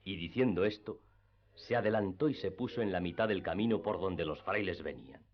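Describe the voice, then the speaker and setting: Deep-voiced male radio drama narrator. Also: a little fast. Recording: slightly dull radio recording. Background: music.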